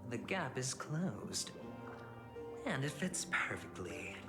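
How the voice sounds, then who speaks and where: A flamboyant young gambler from a video game, teasing and theatrical. He talks slowly and swoops up and down in pitch, with clear music playing underneath. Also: sing-song. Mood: amused, curious.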